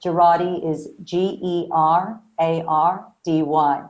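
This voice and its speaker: A woman's voice: sits low, steady tone, slow pace, a little mellow, highs cut off. A woman with a South African Australian accent, a marketing coach speaking as a guest in a podcast interview, earnest and upbeat.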